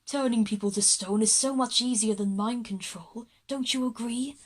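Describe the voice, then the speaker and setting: A woman voicing a menacing, gloating male devil in a children's audio drama, slow and grandiose with a deep, breathy tone.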